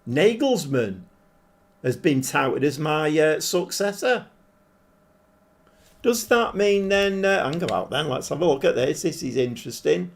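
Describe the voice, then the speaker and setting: A man with a croaky, hoarse voice, chatting casually as he streams a game and thinks aloud. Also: fairly high pitch, sing-song, measured pace. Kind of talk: casual live-stream commentary. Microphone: desk microphone.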